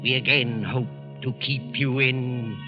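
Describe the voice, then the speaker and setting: A man narrating and hosting a 1940s radio suspense program in a measured, ominous, deliberate way. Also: mid-range voice, fairly even intonation. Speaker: radio show narrator and host. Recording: lo-fi 1940s radio recording with loud music. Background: loud music.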